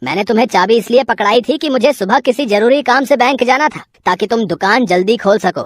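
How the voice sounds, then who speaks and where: A woman's voice: a little high, fairly quick, dry recording, natural voice. A woman voicing a stern male shop owner in a cartoon moral story, scolding and commanding. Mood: outraged.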